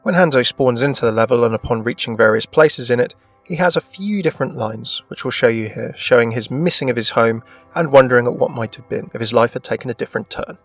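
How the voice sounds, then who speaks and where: Fast-talking male gaming video narrator. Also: mid-range voice, dry recording. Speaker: video presenter. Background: background music.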